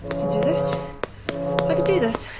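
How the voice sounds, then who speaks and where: A woman's voice: rumbling low, lively intonation, a little slow. A woman playing an anxious astrobiologist in an audio drama, nervous, hesitant and earnest. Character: anxious young female astrobiologist in a secret facility. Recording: loud music under the voice.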